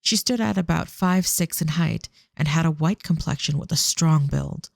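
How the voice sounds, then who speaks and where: A narrator reading a scripted audio drama in a measured, descriptive storytelling manner. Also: mid-range voice, creaky, no room sound, studio quality.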